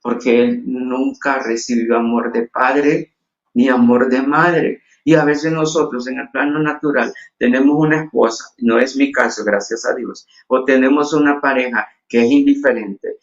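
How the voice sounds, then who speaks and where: A man's voice: medium pitch, fairly even intonation, moderate pace, highs cut off. A man from Honduras with a Honduran Spanish accent, preaching a sermon earnestly with frequent restarts and fillers. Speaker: pastor.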